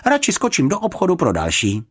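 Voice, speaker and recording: An older, motherly housekeeper from a children's storybook, as acted out by a narrator. The voice is pitched up and swoops about, brusque and exclamatory, scolding yet kindly. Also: huge pitch swings, breathy edge. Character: stout motherly grey-haired housekeeper, brusque and fussy.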